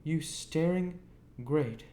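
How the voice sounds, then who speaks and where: A man voicing a sharp-tempered, bossy older sister in a storybook reading, snapping out her lines. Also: on the high side, extremely slow, a little hiss or hum, voice pushed up. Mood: furious scolding. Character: sharp-tempered scolding woman, the narrator's domineering older sister.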